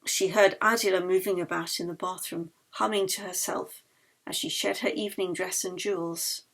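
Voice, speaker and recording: A woman reading her novel aloud in expressive audiobook narration, with a husky, breathy voice and a dramatic manner that drops to hushed phrase endings. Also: on the low side, normal speed, low-quality recording.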